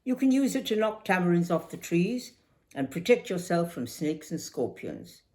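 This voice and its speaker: An older woman voicing a confident, forthright young Indian schoolgirl in a memoir reading, brisk and emphatic. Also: lowish voice, sing-song, a little mellow. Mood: assured, cheerful.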